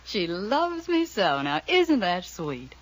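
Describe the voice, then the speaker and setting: A woman's voice: mid-range voice, sing-song, slow, low-quality recording. An actress playing a cold, mocking young woman who sneers at her sister, in 1940s radio drama style. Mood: sneering.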